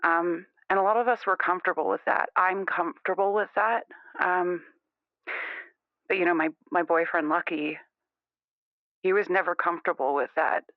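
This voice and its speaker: A man's voice: sits high, steady tone, normal speed, long pauses, no room sound. A man playing a defensive, conformist young caller, insistent and rambling, in an improvised radio call-in drama. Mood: uneasy, defensive. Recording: band-limited, muffled phone-in radio sound.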